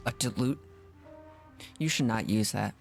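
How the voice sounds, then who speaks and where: Casting: casual live streamer, medium pitch, offhand commentary with game music behind. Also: measured pace, gravelly. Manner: offhand, chatting over the game's dialogue.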